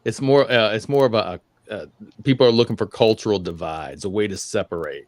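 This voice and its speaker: A man's voice: on the low side, fairly quick, no echo. A man talking casually on a panel, hesitant and searching for his words.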